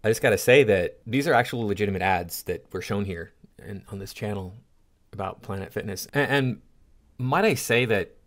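Indignant American man giving halting commentary. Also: fairly low pitch. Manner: indignant, halting, repeats himself. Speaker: host of a commentary channel. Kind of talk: casual opinion commentary over clips.

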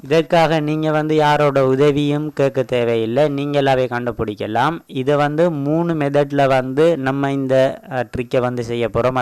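Man, Tamil accent, smooth voice, dry close mic, casual step-by-step tutorial. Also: medium pitch, dry recording. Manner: repetitive filler "vandhu", explains step by step. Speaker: tech channel host.

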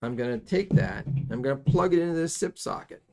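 Creaky-voiced middle-aged man teaching soldering. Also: fairly high pitch, lively intonation, darkish tone. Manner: folksy, halting, thinking aloud with repetitions. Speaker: electronics soldering instructor. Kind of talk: hands-on tutorial, explaining casually while working. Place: indoors at a workbench.

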